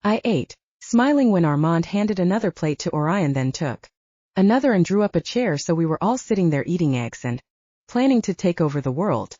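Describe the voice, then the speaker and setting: A woman's voice: fairly low pitch, very animated, moderate pace, dark tone, dry recording, highs cut off. A woman reading first-person fiction as a scripted audiobook narration.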